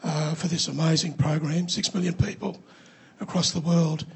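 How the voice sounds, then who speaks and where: Male audience member, croaky, very husky, halting delivery. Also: fairly high pitch, steady tone. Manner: halting, many ums. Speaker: audience member asking a question.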